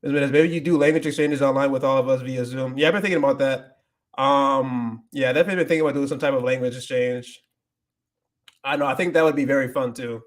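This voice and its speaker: A man's voice: medium pitch, fairly even intonation, a little fast. A young man hosting a casual livestream, answering viewer questions in a loose, rambling way full of restarts and filler words, slipping into Spanish with a Dominican accent. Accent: Dominican Spanish accent when speaking Spanish.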